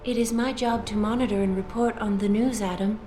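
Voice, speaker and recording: A woman voicing an enigmatic artificial-intelligence news anchor, measured, formal and solemn. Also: mid-range voice, fairly even intonation, dark tone, a little hiss or hum, close to an everyday voice. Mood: solemn composure. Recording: echoey, faint music behind.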